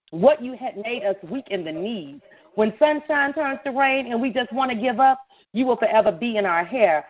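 A woman performing a spoken word poem at an open mic, with a rhythmic, measured delivery. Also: medium pitch. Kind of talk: spoken word poetry recital. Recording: dull lo-fi online radio audio.

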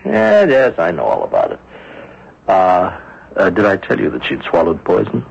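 Gravelly, husky, gruff police inspector. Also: male voice, deep voice, huge pitch swings, normal speed, heavily acted. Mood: skeptical, grumbling. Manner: grumbling, hesitant with many uhs. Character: gruff, skeptical police inspector, grumbling and easygoing. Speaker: actor. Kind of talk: radio mystery drama acting. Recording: dull lo-fi old radio recording.

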